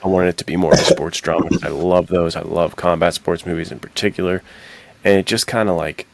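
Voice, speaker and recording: A young American man with a low voice talks film like he's chatting with a buddy. His delivery has plenty of fry and he gives slangy, blunt opinions. The sound is close and dry. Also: fairly even intonation, fairly quick, no echo. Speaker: podcast co-host. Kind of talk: casual movie discussion.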